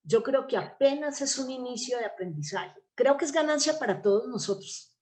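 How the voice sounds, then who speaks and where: An older woman with a Colombian accent and a somewhat high voice speaks as an interview guest. She explains things reflectively, in a conversational way, and often seeks agreement with little tag questions. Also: lively intonation, normal speed, darkish tone. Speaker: interview guest, theatre actress and playwright.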